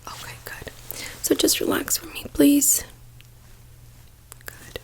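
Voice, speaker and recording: A woman doing a soft-spoken ASMR doctor roleplay in a breathy whisper, gently giving reassuring instructions close to the mic. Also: slow. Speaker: ASMR performer playing a doctor.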